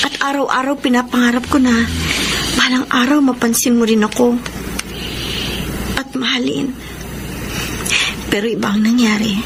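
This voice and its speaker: High-voiced lovesick young Filipino woman. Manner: emotional, switches between Tagalog and English. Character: lovesick young woman pining for her best friend's boyfriend. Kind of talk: Philippine radio drama acting. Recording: noisy radio drama track with background ambience.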